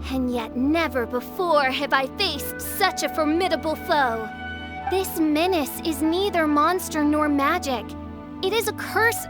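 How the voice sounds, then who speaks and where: A woman voicing a theatrical teenage girl posing as a haughty princess in a video game, with grandiose, archaic speech. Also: high voice. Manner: grandiose, archaic, alliterative, melodramatic. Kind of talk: video game character dialogue.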